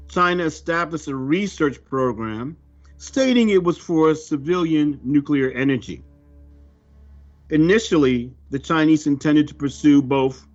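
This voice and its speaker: Slow, solemn man reading over music. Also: a little high. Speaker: religious reader of a prophecy report. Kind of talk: scripted narration, read aloud. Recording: dull lo-fi audio under music.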